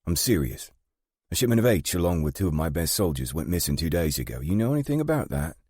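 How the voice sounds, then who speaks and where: A man voicing a tough, foul-mouthed gang matriarch and grandmother with a British accent, blunt and sharp. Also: low-pitched, big pitch swings, quick pace, husky, dry recording, studio quality, pitched above the performer's own voice, heavily acted. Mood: impatient, sharp.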